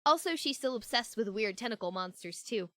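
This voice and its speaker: A woman's voice: a little high, expressive, fairly quick, bright, forceful tone, dry recording. A woman acting an earnest, assertive young woman in a fan fiction audio drama, speaking conversationally and emphatically. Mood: chatty, fond. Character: earnest, newly assertive young woman, fed up but friendly.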